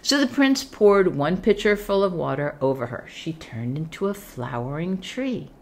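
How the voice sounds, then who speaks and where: A woman tells a fairy tale in a slightly low register. Her pitch moves widely as she reads, and her delivery is deliberate and expressive, with pauses for drama. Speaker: podcast storyteller. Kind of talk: scripted fairy tale narration, read aloud.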